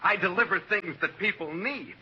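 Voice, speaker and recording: A man's voice: sits high, normal speed, sharp tone. An actor playing an effusive, melodramatic, dim delivery man in a 1940s radio comedy. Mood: eager, sunny. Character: gushingly happy, dim drugstore delivery man. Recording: muffled, noisy old radio recording.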